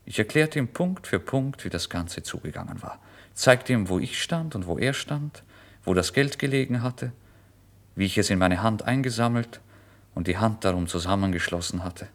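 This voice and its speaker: A starving, feverish man with a lowish voice, proud and volatile. He tells his own story as a dramatic literary radio monologue. His delivery is theatrical and intense, able to move between hushed confession and forceful declaration. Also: expressive, moderate pace. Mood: tense recounting. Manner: theatrical, intense, swinging from hushed to loud outbursts. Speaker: first-person narrator.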